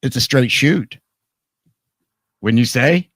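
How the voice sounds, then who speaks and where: A man hosting a live radio talk program, his voice worn hoarse, chatting casually and jokingly with many restarts. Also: medium pitch, lively intonation, slow pace, a bit bright, no room sound, studio quality.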